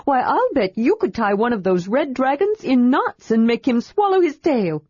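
A woman's voice: high voice, very animated, moderate pace, a little mellow, slightly rough, dry recording, muffled recording, voice pushed up, exaggerated voice. A woman voicing a small, cheerful and curious kender who rambles eagerly.

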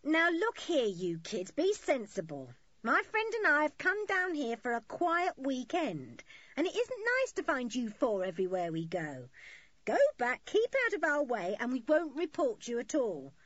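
A woman voicing an angry, bossy scheming woman crook from a children's storybook, in a sharp, commanding manner. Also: mid-range voice, big pitch swings, normal speed, dry recording, lo-fi sound. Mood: bargaining, insistent.

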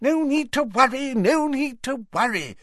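A man voicing a flustered, blustering middle-aged wizard minister who talks in a fussy, hurried, falsely reassuring way. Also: a little high, wildly swooping pitch, dry recording, studio quality, voice pushed up. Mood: bluffly reassuring.

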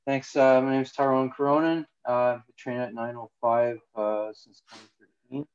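A man in his forties from Canada speaks casually as an interview guest. He hesitates often and fills his pauses with filler words. His voice sits at a middle pitch, and the recording is a little dull and lo-fi. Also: level tone, darkish tone, clear, resonant tone. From Ontario, Canada. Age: 40s. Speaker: guest, jiu-jitsu student.

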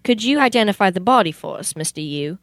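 A woman's voice: mid-range voice, animated, fast, a bit bright, dry recording, studio quality. A young woman playing a polite, methodical male police detective in an amateur radio play. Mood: formal, incredulous. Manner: formal, questioning. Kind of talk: amateur old-time radio theater acting.

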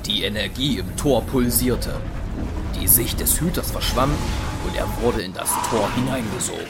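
A man's voice: on the low side, normal speed, over loud music. A man narrating a German science fiction audio drama in a dramatic, scripted storytelling style.

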